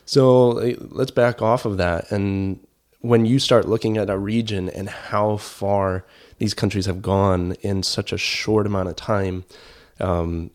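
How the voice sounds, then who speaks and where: Low-pitched man, earnest interview guest. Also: fairly even intonation, measured pace, hardly any pauses, darkish tone. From grew up in Kenya. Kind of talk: conversational interview.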